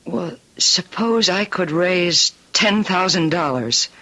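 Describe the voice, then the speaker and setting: A woman's voice: fairly low pitch, lively intonation, slow pace, sharp tone, full character voice. A woman with an American accent playing an earnest, determined, polite young daughter in a radio drama. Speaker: actor.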